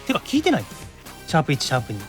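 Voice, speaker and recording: High voiced kansai guy hosting a radio show, talks fast, teasing banter, faint music behind. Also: wildly swooping pitch. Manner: teasing, quick to retort. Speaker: podcast host.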